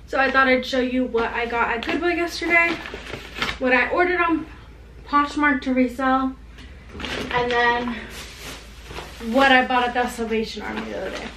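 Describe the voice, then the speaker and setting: A chatty young woman talks upbeat to a desk camera in a small home room. Her voice sits a little high, and the room gives it a noticeable echo. A faint hiss runs underneath. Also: normal speed, pauses often. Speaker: reseller vlogger. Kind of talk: casual haul vlog. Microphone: camera on desk at close range.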